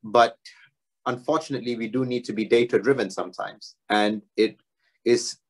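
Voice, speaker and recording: A young man from Singapore giving a relaxed academic talk as a doctoral student, self-deprecating and dotted with fillers. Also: mid-range voice, moderate pace. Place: home room, video talk.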